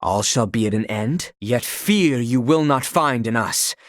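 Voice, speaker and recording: Man acting an expressive, courtly young knight. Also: medium pitch, unhurried, dry recording, clean studio sound, close to an everyday voice. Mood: urgent, resolute. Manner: formal, archaic courtly phrasing. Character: earnest, formal young knight, loyal and courtly. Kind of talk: video game voice acting.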